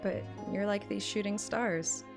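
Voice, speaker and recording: A woman's voice: medium pitch, measured pace, creaky. Playful woman streaming over game music. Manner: playful, expressive, swears freely. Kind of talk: casual live reaction and reading game dialogue aloud.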